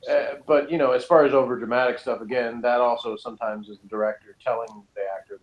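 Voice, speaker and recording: A man's voice: medium pitch, steady tone, fast, dull audio. A man in a casual, opinionated discussion, rambling and qualifying his points, with some exaggeration for effect. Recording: roomy, echoing sound.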